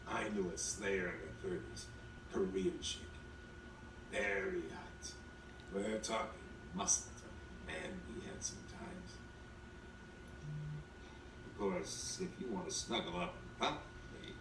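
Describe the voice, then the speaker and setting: An actor playing a lecherous, wisecracking ventriloquist dummy come to life in a TV drama, with sleazy, brash banter. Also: male voice, low voice, expressive, takes it slowly, long pauses, full character voice. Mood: wry, sleazy. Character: lecherous wisecracking living ventriloquist dummy, old demon hunter. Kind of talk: television drama acting. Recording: roomy, distant playback with clear noise.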